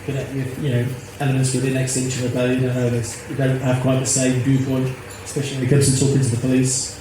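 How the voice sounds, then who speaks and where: A man in his fifties speaks earnestly, circling back over his own phrases. His voice sits at a middling pitch. It was caught on a phone in a very echoey space with plenty of background noise. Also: monotone, fast. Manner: earnest, rambling, repeats himself. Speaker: activist interviewee. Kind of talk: casual interview. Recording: echoey, noisy phone recording.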